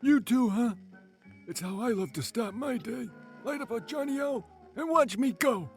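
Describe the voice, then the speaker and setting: Man doing a very high, chipper radio-ad smoker. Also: expressive, a little slow, soft background music, heavily acted. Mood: chipper, contented. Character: chipper male smoker in a parody vintage cigarette ad. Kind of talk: 1940s radio ad acting.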